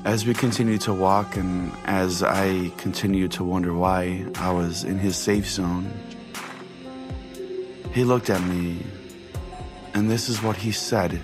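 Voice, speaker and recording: Male podcast host telling a first-person tale, slow and deliberate, with music underneath. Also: sits low, steady tone. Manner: deliberate, pausing. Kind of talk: dramatic first-person story narration.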